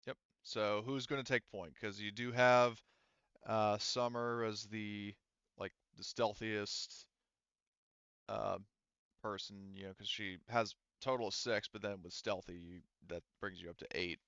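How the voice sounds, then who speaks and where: A man working as game master of a casual tabletop game, thinking aloud and explaining rules in a hesitant, halting way. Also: lowish voice, steady tone, fairly bright tone, clean tone, no room sound, lo-fi sound.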